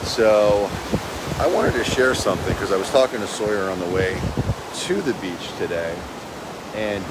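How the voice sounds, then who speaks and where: Man, forties, a bit low-pitched, casual motivational phone vlog at the beach, heavy wind and wave noise. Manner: earnest, rambling with fillers. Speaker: real estate agent vlogger. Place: beach at sunrise, outdoors. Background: waves and wind.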